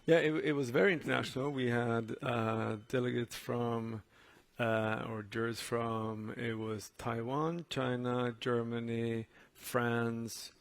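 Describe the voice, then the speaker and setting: Male Swedish juror, native Swedish speaker, fairly even pitch range with gravelly fry, halting unscripted delivery. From Sweden. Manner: halting, repeats words while thinking. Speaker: jury member on a panel. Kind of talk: unscripted panel talk.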